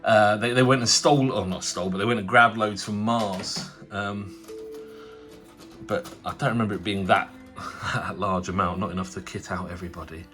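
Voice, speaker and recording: A man's voice: fairly low pitch, steady tone, normal speed, fairly bright tone, soft background music. A man with a cold and a nasal voice, hosting a casual tabletop wargaming unboxing. He rambles chattily, full of fillers and restarts. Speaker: tabletop wargaming channel host.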